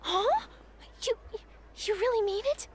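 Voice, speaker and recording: An actress playing an eager, excitable young woman journalist in game dialogue. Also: very high voice. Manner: bubbly, quick to exclaim.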